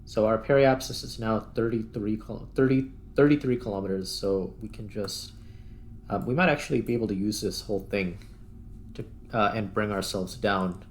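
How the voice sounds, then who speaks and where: Low-voiced man commentating over noise. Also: moderate pace. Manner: thinks aloud while playing. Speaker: gaming channel host. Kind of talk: casual gameplay commentary. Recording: clear background noise.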